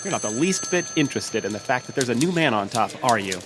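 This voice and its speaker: An actor playing a gossipy, needling, worried newspaper colleague, wry and insistent, in an old-time radio drama. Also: male voice, medium pitch, expressive, fast talker, lightly acted. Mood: exasperated. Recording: live stage with faint noise.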